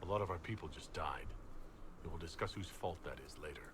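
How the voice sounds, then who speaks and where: A man plays a blunt but fair commander in a fantasy game. His voice is very low, firm and plain-spoken, recorded with clear noise behind it. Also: fast talker, natural voice. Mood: stern.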